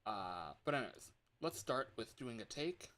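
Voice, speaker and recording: A man chatting casually to livestream viewers in an excitable, scattered, playful way, with frequent exclamations. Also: medium pitch, normal speed. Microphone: external microphone.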